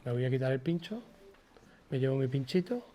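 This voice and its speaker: An older man with a Spanish accent from Spain gives an informal lecture, colloquial and digressive, halting and repeating words as he goes. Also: medium pitch, lively intonation, noisy background. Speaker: guest lecturer, former radio presenter. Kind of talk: informal public lecture with personal anecdotes.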